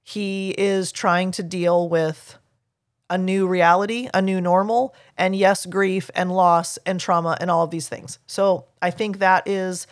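A woman with a low speaking voice, hosting a teaching podcast and answering a listener's email in an earnest, explanatory way. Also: fairly even intonation, unhurried, no echo, clean studio sound. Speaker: podcast host.

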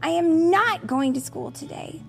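A woman's voice: very high voice, lively intonation, a little slow, vocal fry, faint music behind, voice pushed up, exaggerated voice. A woman voicing a stubborn, anxious young child in a storybook, insistent and pleading. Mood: pleading, upset. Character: stubborn anxious young child, first-day school refuser.

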